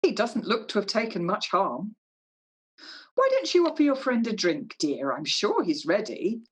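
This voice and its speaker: Woman as a husky, animated older mother. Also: medium pitch, moderate pace. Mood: hospitable, fussing. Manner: chiding, motherly. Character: fussing, practical older working-class mother and wife. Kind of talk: radio play acting.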